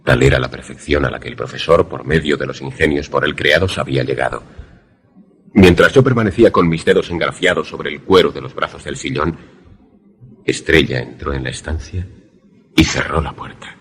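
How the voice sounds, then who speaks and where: A man's voice: rumbling low, normal speed, a bit bright, husky, full character voice. A man playing a grave, questioning protagonist who narrates a suspense radio drama in formal, literary language. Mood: awed, narrating. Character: grave, questioning male narrator-protagonist caught in eerie events.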